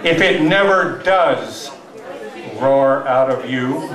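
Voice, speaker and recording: An older man in his sixties gives a live poetry reading, with deliberate dramatic pauses and wry asides. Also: medium pitch, lively intonation, crowd noise. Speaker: poetry reader at an open mic. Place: small tavern stage indoors. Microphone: stand microphone, captured from far camera. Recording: roomy live tavern, distant mic, audience noise.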